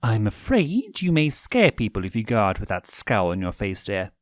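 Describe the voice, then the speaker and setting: A man's voice: on the low side, huge pitch swings, normal speed, no room sound, studio quality. A man voicing a posh, doting male demon owl prince who coos and teases in a theatrical way. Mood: cooing. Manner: cooing, teasing, theatrical.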